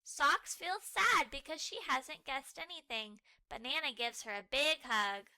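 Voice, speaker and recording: A woman reads a children's story aloud with expressive, animated phrasing. Her voice is high and very bright, moving at a slow, deliberate pace. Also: dry recording. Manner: animated, clearly paced for early readers. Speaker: storybook read-aloud narrator. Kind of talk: expressive scripted narration for young children.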